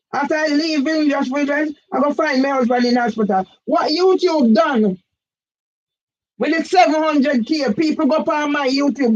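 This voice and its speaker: An older woman with a Jamaican accent, delivering an agitated, repetitive monologue for her own channel that rises now and then into shouts. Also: sits high. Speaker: vlogger. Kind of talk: impassioned monologue. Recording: dull lo-fi audio.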